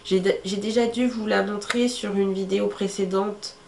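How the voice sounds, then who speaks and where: A young woman with a stuffy cold talks her viewers through her hair tools in a relaxed, chatty way, stopping often on fillers. Her voice sits at a middle pitch. It was recorded in an echoey room with a faint hiss of ambient noise. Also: normal speed, gravelly. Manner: chatty, many fillers, shows items to camera. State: congested with a cold. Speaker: beauty vlogger. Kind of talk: casual how-to talk to camera.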